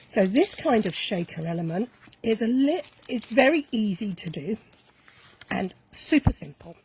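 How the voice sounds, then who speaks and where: A woman's voice: medium pitch, darkish tone, slight background noise, rough recording. A woman casually demonstrating a card-making craft, chatty and enthusiastic as she talks through each step while she works. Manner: chatty, enthusiastic, thinking aloud while working.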